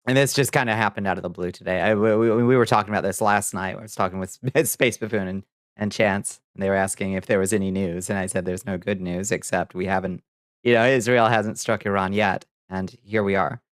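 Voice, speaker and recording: A man hosting a livestream, chatting casually with viewers and commenting on news in a halting, profane, muttering way. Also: deep voice, steady tone, clean tone, dry recording, clean studio sound. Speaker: livestream host reading viewer chat.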